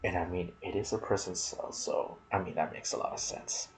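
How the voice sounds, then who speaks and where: A man narrating game lore in ASMR style, soft and breathy, often slipping toward a whisper, musing aloud in casual asides. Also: deep voice, steady tone, brisk, slight background noise, rough recording. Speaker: gaming video narrator.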